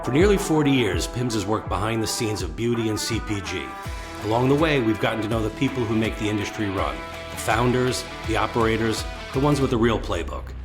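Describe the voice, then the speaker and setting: A man's voice: lowish voice, fairly quick, over music. Chatty man hosting a podcast. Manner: relaxed, chatty storytelling with fillers. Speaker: podcast host. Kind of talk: conversational interview.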